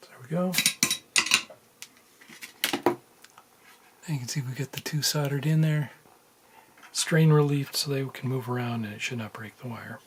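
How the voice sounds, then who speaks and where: A man talks through a practical task in an informal, think-aloud way, like a casual tutorial host. His voice sits at a middle pitch. It is recorded indoors at a workbench, with some background room noise. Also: steady tone, a little slow, pauses often. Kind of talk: casual hands-on soldering tutorial narration.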